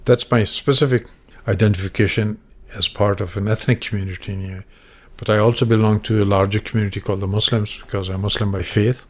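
An older man from Pakistan answers a question about identity in a low voice. He talks quickly, yet keeps his words measured and explanatory. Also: steady tone, a little mellow.

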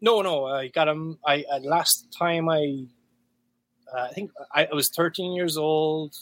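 A man in his thirties with an Irish accent, a guest musician talking frankly and profanely, with lots of halting restarts. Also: a little high, fairly bright tone. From Ireland. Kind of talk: candid casual interview talk.

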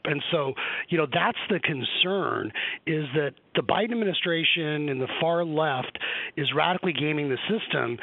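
Male caller, native Serbo-Croatian speaker, raised-pitch voice, dry tinny phone line. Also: no echo. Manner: earnest, campaign-style talking points. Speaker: political guest, Senate candidate. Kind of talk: call-in radio interview.

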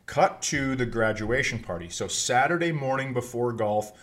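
A middle-aged man with an average-pitched voice tells anecdotes as a comedian would on a podcast. He talks casually and rambles, swearing freely as he goes. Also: lively intonation, moderate pace, a bit bright. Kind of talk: casual comic storytelling.

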